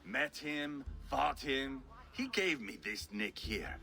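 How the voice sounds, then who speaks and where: An actor voicing a fierce, mocking, bloodthirsty Viking warrior in a video game, with a crude, theatrical, taunting manner. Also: male voice, mid-range voice, very animated, takes it slowly, vocal fry, pushed and bright, full character voice. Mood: scornful. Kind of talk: video game character acting. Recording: music behind the voice.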